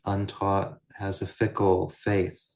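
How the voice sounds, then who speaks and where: A man guiding a meditation as a teacher, speaking in measured, deliberate short phrases with pauses between them. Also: low voice, completely flat delivery, darkish tone, poor audio. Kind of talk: guided meditation, unscripted Buddhist teaching.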